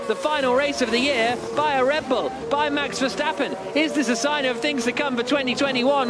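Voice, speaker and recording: Excitable guy calling a car race live, really high voice, loud engines roaring behind him. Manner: excitable, dramatic. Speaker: motor racing commentator. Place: trackside commentary box. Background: race cars and engine noise.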